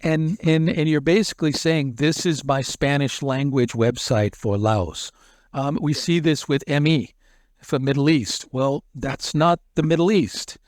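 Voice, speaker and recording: A man's voice: fairly high pitch, measured pace, no room sound, studio quality. A man being interviewed as an expert, explaining a technical topic in long run-on stretches and often repeating words as he restarts. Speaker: SEO expert guest.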